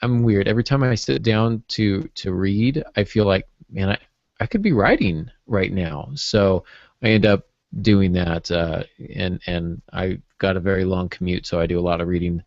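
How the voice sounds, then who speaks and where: A man co-hosting a casual podcast, thinking aloud haltingly, on slightly lo-fi audio. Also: sits low, a little mellow, dry recording. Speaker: podcast co-host, writer. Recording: dry, slightly dull lo-fi audio.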